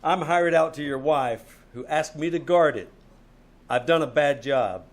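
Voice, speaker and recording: An actor playing a wry, tough private detective, dry and wisecracking, in an old-time radio play. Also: male voice, fairly high pitch, a little slow. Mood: dry probing. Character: wry, tough male private detective narrating his case.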